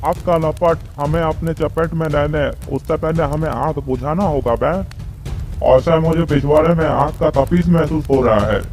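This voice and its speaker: A man's voice: on the high side, moderate pace, a little mellow, close to an everyday voice. A man playing a short-tempered, scolding disgraced village headman in a comic Hindi cartoon. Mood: panicked, scolding. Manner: scolding, exasperated, blustering.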